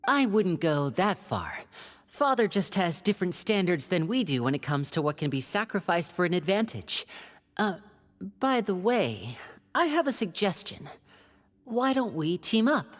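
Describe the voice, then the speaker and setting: A woman voicing a young male magician agent in a video game, polite, composed and calculating, with measured speech. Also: lowish voice, big pitch swings. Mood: diplomatic, persuasive. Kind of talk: video game character acting. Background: game music.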